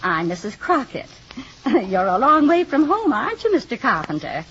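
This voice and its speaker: An actress playing an excitable, chatty boarding-house landlady in an old radio drama, easily flustered. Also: fairly high pitch, moderate pace. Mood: chatty, welcoming. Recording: muffled, noisy old radio recording.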